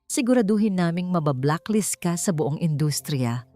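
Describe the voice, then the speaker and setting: A woman's voice: lowish voice, expressive, normal speed, warm tone, soft background music, lightly acted. A woman narrator playing a cold, formal human resources manager in a melodramatic audio story. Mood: stern.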